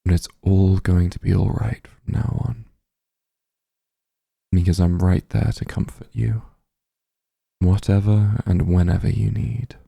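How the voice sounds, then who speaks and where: A man plays a softly dominant demon lord in a comforting ASMR roleplay. His voice is low and extremely dark, with heavy husky breathiness. He coaxes and dotes possessively, tender and unhurried, right up at the mic. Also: dry recording, studio quality, heavily acted. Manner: tender, coaxing, teasing, hushed. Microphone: close microphone, dry.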